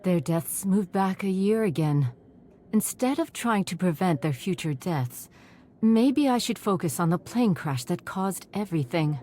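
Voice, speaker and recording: A woman playing a determined, earnest investigator in a video game, thinking aloud in a measured way. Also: lowish voice, lively intonation, dark tone. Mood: puzzled, resolute. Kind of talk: dramatic video game narration.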